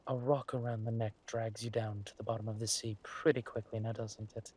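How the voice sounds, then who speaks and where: A man playing a suave, ruthless villain lord in an audio drama, mock-polite and menacing, with a husky voice and drawn-out delivery. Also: on the low side, little pitch movement, darkish tone. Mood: coolly ruthless.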